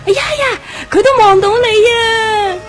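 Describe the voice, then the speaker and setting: An actress playing a chatty, teasing, excitable young woman in a radio drama, speaking Hong Kong Cantonese. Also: extremely high pitch, normal speed, music in the background. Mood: excited.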